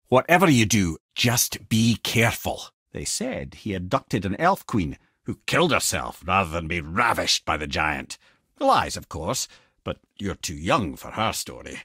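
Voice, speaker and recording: Game casting: clever old Scottish advisor, husky voice, very expressive pitch, chatty and wry. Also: male voice, no echo, studio quality, exaggerated voice. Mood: solemn storytelling. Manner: wry, chatty, wordy storytelling. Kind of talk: video game character dialogue.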